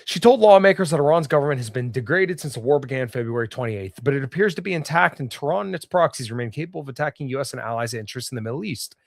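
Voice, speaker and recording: A slightly hoarse man hosting a solo political podcast, reading news aloud and bluntly giving his own opinions. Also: mid-range voice, animated, very fast, few pauses, no room sound, studio quality. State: slightly hoarse, getting over illness. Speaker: podcast host. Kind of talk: solo political commentary livestream.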